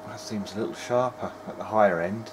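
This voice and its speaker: A man giving casual running commentary as he tests electronics, muttering to himself in short, halting remarks. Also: on the low side. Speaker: hobbyist presenter of a video diary. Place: small cluttered indoor electronics workbench. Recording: clear background noise in workshop.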